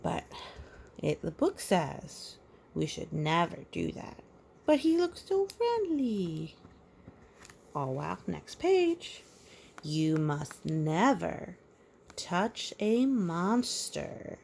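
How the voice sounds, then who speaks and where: A woman with a slightly low voice reads a picture book aloud to small children. Her delivery is very slow and sing-song, with playful asides. Gravelly creak runs through her voice. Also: big pitch swings, darkish tone, slight background noise. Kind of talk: children's storybook read-aloud with asides and counting.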